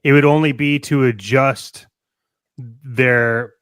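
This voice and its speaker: A man's voice: mid-range voice, steady tone, slow, a bit bright, clean tone, no room sound. A man with a clean, resonant voice, recorded close and dry. He talks like an enthusiastic fan co-hosting a casual podcast, working out his thoughts aloud in a rambling way. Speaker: podcast co-host. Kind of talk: casual fan chat about toys and films.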